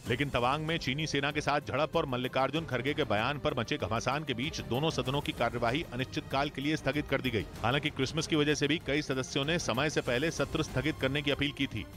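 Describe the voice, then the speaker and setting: A man reading a scripted news bulletin in a steady, formal newsreader delivery. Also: a little high. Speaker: news reader.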